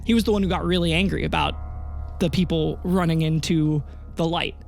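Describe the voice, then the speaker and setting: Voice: medium pitch, a little fast. A game master narrating a tabletop fantasy adventure in a conversational, storytelling way. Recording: music under the voice. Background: background music.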